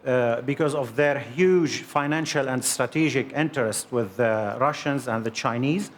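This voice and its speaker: Hesitant man in a live debate. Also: medium pitch. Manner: measured, many hesitant fillers. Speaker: panel guest, Syrian opposition member. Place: studio debate room.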